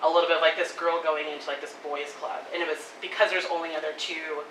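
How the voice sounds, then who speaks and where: A woman giving quick, animated spoken feedback at a live table reading, thinking aloud with lots of "like"s. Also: low voice. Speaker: audience member giving feedback. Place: event room. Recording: echoey room, distant mic, faint noise.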